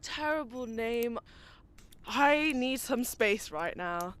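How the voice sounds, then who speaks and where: A woman playing a slow, earnest, weary engineer. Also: fairly high pitch, animated, a bit bright, clean tone.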